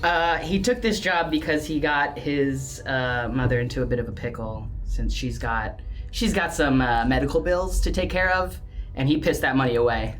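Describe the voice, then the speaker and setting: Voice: medium pitch, normal speed. A tabletop roleplaying player chatting casually about the game in a slangy, playful manner.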